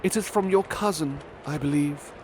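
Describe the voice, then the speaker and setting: A man's voice: on the high side, moderate pace, noisy background, pitched above the performer's own voice, close to an everyday voice. A man reading the lines of a sickly, grateful young man, his voice lifted and eager. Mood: fervent, grateful.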